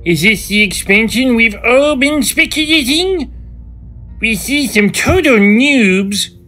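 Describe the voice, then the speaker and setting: A woman's voice: a little high, animated, slow pace, fairly bright tone, faint music behind, full character voice. A woman playing a boastful, condescending male guild leader who livestreams, in a fantasy audio drama. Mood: showy, excited. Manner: grandiose, condescending showman.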